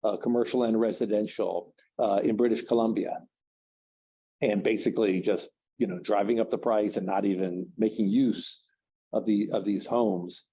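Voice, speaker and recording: Casting note: man, low and very dark tone, slightly husky, hesitant seminar speaker full of "uh" and restarts. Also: steady tone. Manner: halting, many fillers and restarts. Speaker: trade specialist speaking at a seminar. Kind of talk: seminar talk, answering questions.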